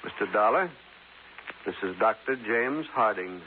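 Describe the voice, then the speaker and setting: A thin vintage radio recording carries a low-pitched American sheriff. He is composed and guarded, courteous but firm, and talks in a formal, deliberate manner typical of 1950s radio drama. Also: lively intonation. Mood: insistent explaining. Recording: noisy, narrow-band 1950s radio recording.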